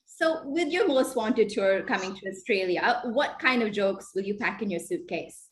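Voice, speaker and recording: A woman with a mid-pitched voice, hosting an interview. She asks her guest long, winding questions that wander before landing. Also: animated, moderate pace.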